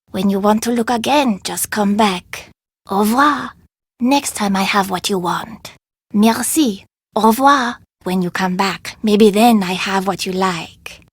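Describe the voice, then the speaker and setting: A woman acting as a curt French vendor in a video game, with a French accent, broken English and a husky voice. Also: medium pitch, no echo, studio quality.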